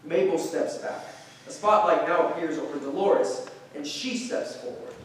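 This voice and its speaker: A man's voice: a little high, moderate pace. A man reading stage directions as scripted narration at a staged play reading. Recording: very echoey room, distant mic, faint noise.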